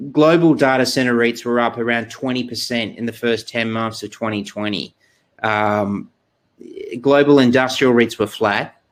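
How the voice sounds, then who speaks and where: Man, thirties, webinar presenter on a webcam, halting delivery full of ums and restarts. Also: medium pitch. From Australia. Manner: halting, many fillers and restarts. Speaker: exchange research presenter. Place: indoor room, video call. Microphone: webcam video call.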